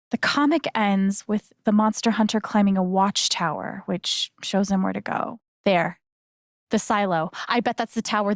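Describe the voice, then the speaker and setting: A woman's voice: medium pitch, no room sound, clean studio sound, natural voice. A woman voice acting an earnest, caring young heroine in a video game, with casual, hesitant, naturalistic delivery. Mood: thoughtful, focused. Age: young adult. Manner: casual, hesitant, conversational phrasing.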